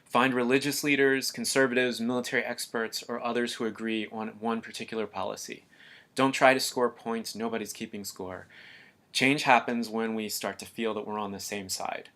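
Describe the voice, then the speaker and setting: A man giving a calm, earnest scripted voice-over narration for an explainer video. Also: medium pitch, moderate pace.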